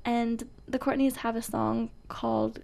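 A woman's voice: a little high, flat delivery, unhurried, gravelly, a little mellow. A woman co-hosting a radio show in casual, unscripted chat, with a giggly, joking manner. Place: radio studio.

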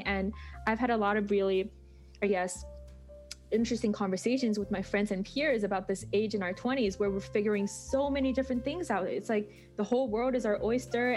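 A woman's voice: medium pitch, darkish tone. A woman in her early twenties, a student podcaster, telling her story in a chatty, upbeat way on a panel. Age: 20s. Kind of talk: casual panel conversation.

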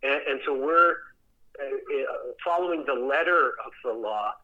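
A man speaking as a theatre director in a conversational interview, reflective and halting, often repeating words as he thinks. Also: mid-range voice, narrow, tinny audio.

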